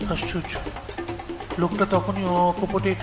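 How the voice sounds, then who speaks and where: Slow, suspenseful male narrator with echo. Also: sits high, level tone, over music. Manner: measured, suspenseful with dramatic pauses. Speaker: story narrator. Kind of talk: dramatic suspense audiobook narration.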